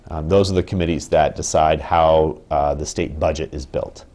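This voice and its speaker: A man in his thirties speaking as a union representative in an interview, measured and thoughtful, with frequent ums and restarts. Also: very deep voice, fairly even intonation, a little mellow. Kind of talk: interview answers. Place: indoor office with wood paneling.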